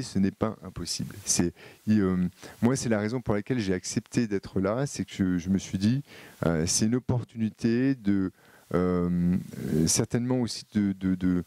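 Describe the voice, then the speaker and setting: French-accented male speaker, low-pitched, mellow, halting and filler-heavy, addressing a room. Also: dry recording. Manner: hesitant, many fillers and repeated words. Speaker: conference speaker. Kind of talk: live talk to an audience.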